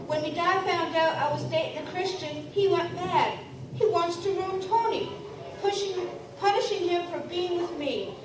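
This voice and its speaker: A woman's voice: very high-pitched, moderate pace. A woman playing an anxious young village daughter in a live radio play. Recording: echoey hall from far off, background noise.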